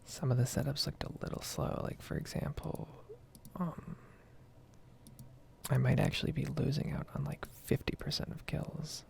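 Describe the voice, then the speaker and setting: A woman's voice: very deep voice, level tone, normal speed, creaky, a little mellow. A young American woman in her twenties giving a rambling, casually sweary ASMR gameplay commentary close to the microphone. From United States. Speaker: gaming video creator.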